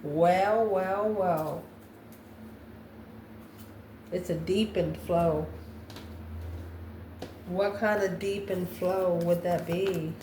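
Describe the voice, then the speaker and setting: A woman's voice: on the low side, steady tone, very slow, pauses often, mellow. Echoey-room woman reading tarot aloud. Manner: musing aloud, asks herself questions and answers them. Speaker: tarot reader, channel host. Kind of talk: improvised spoken tarot card reading. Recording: echoey room, faint background noise.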